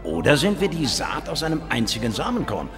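Lead scientist, dry and confident, animated melody, music behind, science fiction audio drama. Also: male voice, medium pitch, brisk. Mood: wry, emphatic. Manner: explanatory, dry, wry. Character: confident, worldly male biologist and space investigator. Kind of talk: radio drama dialogue and first-person narration.

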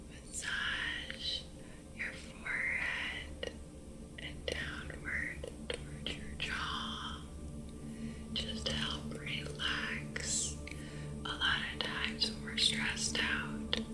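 A young woman gently whispering soothing, reassuring ASMR as a spa host, close to the mic in a small room. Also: very deep voice. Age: young adult. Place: small dim room indoors. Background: prominent music.

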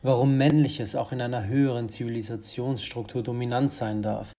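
A man's voice: mid-range voice, steady tone, fairly quick, mellow, lightly acted. An actor playing a brusque, vehement male political strategist in an audio drama, speaking formally and emphatically. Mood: grave, urgent.

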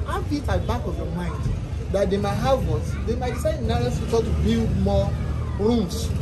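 A man's voice: high voice, poor audio. A man in his thirties with a Nigerian accent, giving an informal technical lesson to trainees, explaining step by step, repeating himself and often asking check questions. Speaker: technical instructor. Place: open-fronted shop, semi-outdoors. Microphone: phone on desk at short distance. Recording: busy street noise, music in background.

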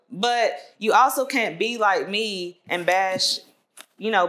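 A woman's voice: lowish voice, a bit bright. A woman in her twenties chatting candidly as a guest, laughing often and using lots of fillers, recorded on a lapel mic. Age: 20s. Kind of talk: casual conversation.

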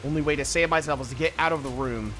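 A man's voice: on the high side, fast, fairly bright tone, a little hiss or hum. A man streaming a puzzle adventure game, casually commenting, reading text aloud and muttering to himself.

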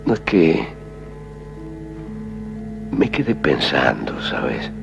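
Film Devil: Argentine, courteous, melancholy, extremely low voice with wide melodic swings, dull soundtrack with music behind. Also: male voice, big pitch swings, very slow, creaky, exaggerated voice. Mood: wistful, curious. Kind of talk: 1970s film acting, slow and solemn. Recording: muffled old film soundtrack under music.

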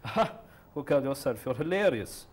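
A man's voice: mid-range voice, sing-song, moderate pace. A man playing a patronizing boss. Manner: patronizing, rambling, folksy. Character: crude small-town Irish boss interviewing, patronizing. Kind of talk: comedy sketch acting.

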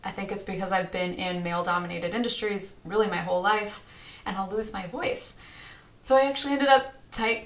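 Energetic woman coaching in a roomy room. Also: mid-range voice. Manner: energetic and chatty, talks with her hands. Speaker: grant writing coach. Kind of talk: direct-to-camera teaching vlog. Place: small home studio indoors.

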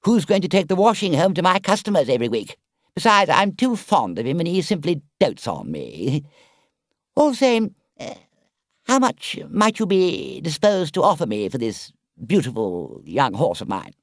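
A man voicing a boastful, excitable male toad who haggles in high, exclamatory bursts. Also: normal speed, no room sound, studio quality. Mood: indignant bargaining.

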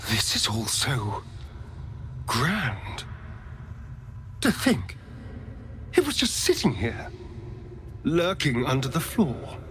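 A haughty vampire rogue in a video game. His fairly low voice swings widely in pitch and takes its time, with arch, precise drama. Noise sits behind it. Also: huge pitch swings, slow, long pauses, a bit bright, heavily acted. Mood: wary awe. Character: haughty, theatrical male vampire spawn rogue, wounded.

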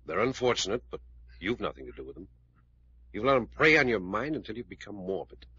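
A man's voice: lowish voice, big pitch swings, brisk, sharp tone, husky, no echo, full character voice. An actor playing a level-headed, reassuring man who questions firmly and earnestly in an old-time radio drama. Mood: firm, insistent. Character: level-headed, reassuring male adventurer, probing and sensible. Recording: dull vintage radio recording.

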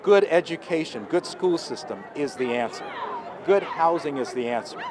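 A man's voice: a little high, lively intonation, normal speed, noisy background. A man who is a bishop speaks earnestly and emphatically in an outdoor street interview by a harbor. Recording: outdoor crowd chatter behind.

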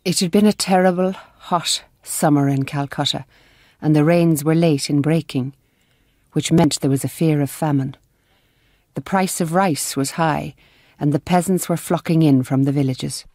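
An actress playing a grave nun who narrates a radio drama in a sombre, reflective manner. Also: female voice, sits low, steady tone, normal speed, a little mellow, dry recording, clean studio sound.

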